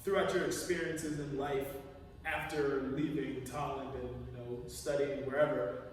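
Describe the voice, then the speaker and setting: A young man co-hosting a podcast who asks the questions in a casual chat and jokes with quick interjections. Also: medium pitch, level tone, slight background noise. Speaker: podcast co-host. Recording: echoey room, far from mic.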